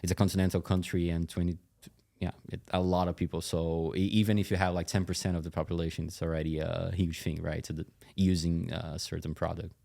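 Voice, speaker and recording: A man from Brazil with a Brazilian accent, a native Portuguese speaker, talking casually in an interview and often pausing mid-phrase. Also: sits low, level tone, vocal fry, mellow. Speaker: podcast guest, company executive.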